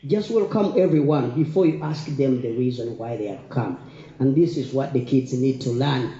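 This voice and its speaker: A middle-aged Ugandan man whose first language is Luganda speaks earnestly in public. His voice sits fairly high yet is very soft-edged and dark, with a clearly reverberant, slightly muffled room sound. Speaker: community event speaker. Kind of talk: informal public speech. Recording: muffled, echoey room recording.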